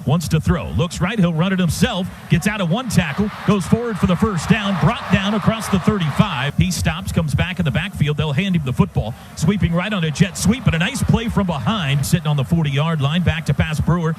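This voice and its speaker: Male radio play-by-play announcer, American accent, high voice, clipped excitable delivery, very loud stadium noise behind. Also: a little fast, hardly any pauses. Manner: clipped phrases that build to excited shouts. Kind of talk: live American football radio commentary. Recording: very noisy outdoor stadium broadcast. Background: loud stadium ambience.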